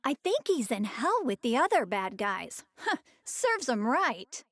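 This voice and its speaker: Casting: chatty, sweet anime girl-next-door in her youth, high lilting voice, very animated, conversational. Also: unhurried, dry recording, full character voice. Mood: curious, chatty. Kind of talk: English-dubbed anime game voice acting.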